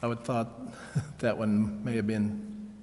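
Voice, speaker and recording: A man speaking as a council member at a public meeting, asking questions in halting, measured phrases. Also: fairly low pitch, level tone, darkish tone, slight background noise. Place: council chambers. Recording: reverberant meeting hall.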